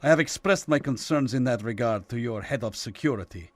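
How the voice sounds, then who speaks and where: A man plays a Soviet consular official in a suspense radio play. He speaks formally and sceptically, with stern suspicion. His voice is mid-pitched and recorded dry. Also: fairly quick, no echo, lightly acted. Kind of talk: radio suspense drama acting.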